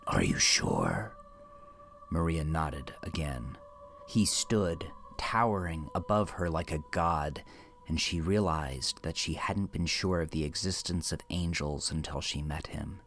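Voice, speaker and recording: A man narrating a horror story in a dramatic, hushed and deliberate manner with an ominous tone. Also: rumbling low, faint music behind.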